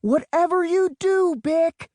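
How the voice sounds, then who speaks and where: A man plays a heroic teenage big sister in a children's storybook, pitched well up from his narration. She calls out commands boldly and matter-of-factly, with a dry, close sound. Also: takes it slowly, no echo. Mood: urgent, commanding. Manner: commanding, shouted delivery. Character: brave big sister, teenage girl, bossy and matter-of-fact.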